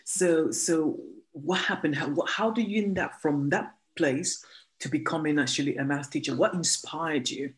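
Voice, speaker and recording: An interview host speaking at a mid-range pitch. The host reads an introduction slowly and deliberately, then asks questions with restarts and hesitation. The delivery is plain and conversational. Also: poor audio. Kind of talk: interview hosting.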